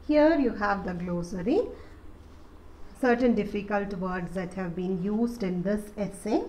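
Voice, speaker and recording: A woman's voice: on the high side, a little mellow, low-quality recording. A woman, teaching a college lesson and explaining an essay step by step with many fillers. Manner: explanatory, repeats points with fillers. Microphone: voice-over on slides.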